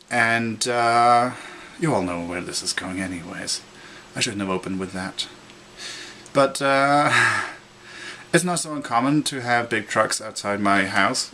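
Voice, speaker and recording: A man's voice: fairly low pitch, sharp tone, a little hiss or hum. A young man from Norway with a Norwegian accent, telling a rambling story in a casual vlog, full of fillers, restarts and chuckles. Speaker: vlogger.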